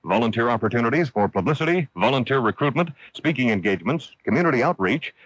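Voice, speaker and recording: A male radio announcer reads a script in a medium-pitched voice. The sound is close and dry, dulled like an old AM broadcast. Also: expressive, fast talker, a bit bright, breathy edge, no room sound. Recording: dull old AM radio recording.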